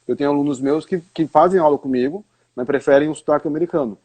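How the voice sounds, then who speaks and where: Husky Brazilian man explaining accents. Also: a little high, fast talker, a little mellow. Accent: Brazilian Portuguese. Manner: explanatory, uses rhetorical questions. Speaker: English teacher. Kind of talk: live stream conversation.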